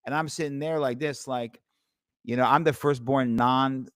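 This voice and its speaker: A man's voice: mid-range voice, fairly even intonation, moderate pace, no echo, clean studio sound. An American man in his forties talking casually in a debate, blunt and rambling, with plenty of swearing. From United States. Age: 40s. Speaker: podcast host.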